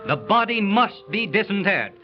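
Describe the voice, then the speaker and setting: An actor playing an impetuous, scornful son with insistent, declamatory 1930s radio delivery. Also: male voice, high voice, sing-song, normal speed. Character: impetuous, scornful disinherited son demanding vindication. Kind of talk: 1930s radio drama acting. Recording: muffled, faintly noisy 1930s radio recording.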